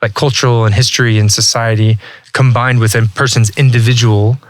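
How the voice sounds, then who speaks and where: A man's voice: medium pitch, a little fast, no echo. A man in his thirties from Texas, a podcast guest talking casually and thoughtfully, often restarting his sentences. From Texas, USA. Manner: relaxed, reflective, many restarts and "like". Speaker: guest, language learning entrepreneur. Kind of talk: casual interview talk.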